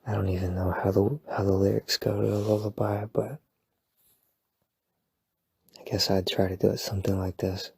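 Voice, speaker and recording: A man whispering softly at night in a rambling, playful, self-deprecating sleep-aid roleplay. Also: fairly low pitch, little pitch movement, moderate pace, pauses often, no room sound. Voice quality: breathy whisper. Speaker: ASMR boyfriend roleplay creator. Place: home at night.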